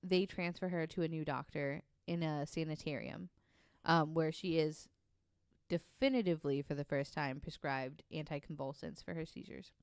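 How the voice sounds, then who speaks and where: A woman's voice: low-pitched, steady tone, moderate pace, clean tone, no echo, studio quality. A woman co-hosting a podcast, casually telling a true story with lots of ums and likes. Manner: chatty, many ums and likes, laughs easily.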